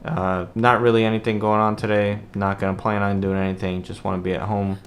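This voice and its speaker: Male vlog host, low-pitched, informal and explanatory, emphatic on key points. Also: flat delivery, a little fast, slight background noise. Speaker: vlog host, real estate investor. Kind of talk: casual vlog talk to camera.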